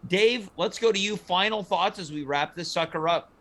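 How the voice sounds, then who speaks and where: Male panel-show host, pitch a bit above average, showy and mock-formal in a casual setting. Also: normal speed, fairly bright tone.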